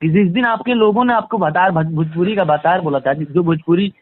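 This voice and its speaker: A man's voice: high voice, fairly quick, slight background noise. A man giving a conversational phone interview as a guest, emphatic and repeating phrases for stress. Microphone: phone line. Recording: tinny, band-limited phone call recording.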